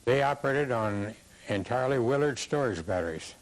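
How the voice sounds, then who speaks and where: An older man being interviewed, telling a story casually in a plain, folksy, somewhat halting manner. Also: medium pitch, animated, poor audio. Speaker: interviewee recalling early radio days.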